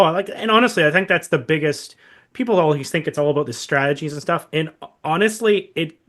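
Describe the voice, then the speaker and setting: A man's voice: fairly high pitch, fairly bright tone. Male podcast host, informal and conversational, choppy delivery in a casual interview.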